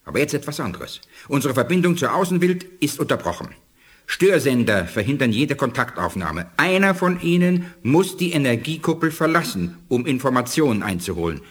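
An authoritative alien scientist in a sci-fi radio drama. His voice is husky and moves widely in pitch. He lectures formally and deliberately, with shrewd dignity. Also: very animated. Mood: instructive. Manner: measured, formal, didactic delivery. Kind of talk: 1980s German sci-fi radio play acting.

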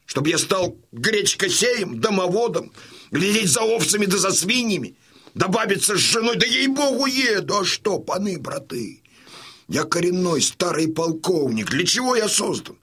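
An actor playing a boisterous, domineering old Cossack colonel and father in a Russian radio drama, with a rough, commanding, folksy delivery. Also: sits high, sing-song, moderate pace, fairly bright tone, exaggerated voice. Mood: fiery, defiant. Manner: commanding, hearty, folksy, declamatory.

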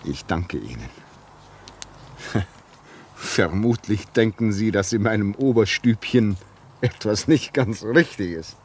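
A male actor playing a lonely, fearful and suspicious railway signalman in a German radio play, brooding and halting with sudden intensity. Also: on the low side, animated, a little mellow, slight background noise.

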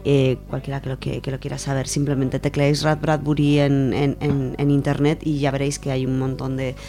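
A woman's voice: a bass voice, monotone, brisk, warm tone. A woman from Spain presenting on radio, giving an informal, semi-prepared account in an explanatory way, restating points as she goes.

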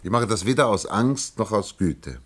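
A man's voice: fairly low pitch, lively intonation, moderate pace, breathy edge. An actor in a science-fiction radio play voicing a proud, vain alien researcher who poses as a human salesman and speaks with earnest, pompous emphasis. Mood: grave, earnest.

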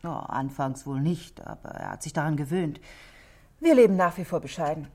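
An actress playing a self-possessed, guarded married shop manageress in a radio drama, speaking precisely and defensively. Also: female voice, deep voice, moderate pace, darkish tone, husky. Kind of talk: 1960s German radio drama acting.

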